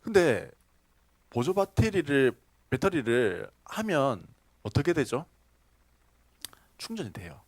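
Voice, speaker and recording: Male pastor, sermon delivery, animated pitch swings, dry close sound, chatty questions to the pews. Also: slow, pauses often, no room sound. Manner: storytelling with questions to the congregation, laughing asides. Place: church sanctuary.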